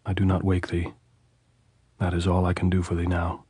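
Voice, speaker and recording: A man doing a quiet, tender soldier, deep and husky. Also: monotone. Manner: soft, tender, measured. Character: calm tired male soldier speaking tenderly to his lover.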